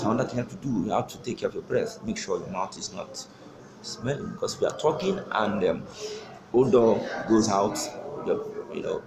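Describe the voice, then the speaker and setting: This is a man, coaching brand ambassadors in an earnest, emphatic way. He sits in an office and films himself on a camera close to him. The room sounds echoey, and a steady background noise runs under his voice. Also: lowish voice. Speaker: sales trainer. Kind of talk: motivational training talk. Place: office with wallpaper, seated in leather chair.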